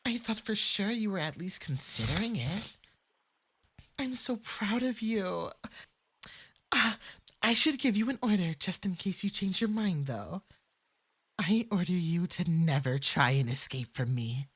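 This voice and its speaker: A performer with a light, breathy voice playing a clingy, lovestruck fox-spirit femboy mage in an intimate ASMR roleplay, coaxing sweetly and possessively. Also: mid-range voice, measured pace. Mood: possessive, calm. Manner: sweetly coaxing, possessive, swinging into eager excitement.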